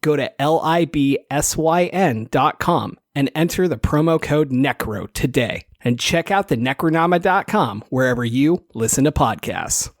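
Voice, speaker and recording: A man's voice: a little high, animated, moderate pace, hardly any pauses, no echo, clean studio sound. A man co-hosting a podcast, reading ads and teasing his guests in a playful way. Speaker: podcast co-host. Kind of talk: casual podcast chat and ad reads.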